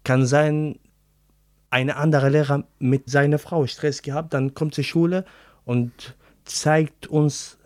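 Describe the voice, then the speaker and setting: Man, Arabic accent, slow and hesitant, searching for words, dry close sound. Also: on the high side, fairly even intonation, a little mellow, no echo. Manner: halting non-native German, searching for words. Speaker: interviewee. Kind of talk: casual interview.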